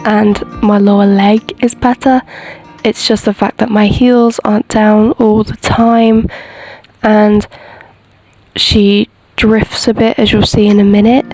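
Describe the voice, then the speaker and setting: Even-toned woman narrating over soft music. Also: mid-range voice. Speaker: horse rider narrating own lesson footage. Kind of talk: casual voiceover commentary.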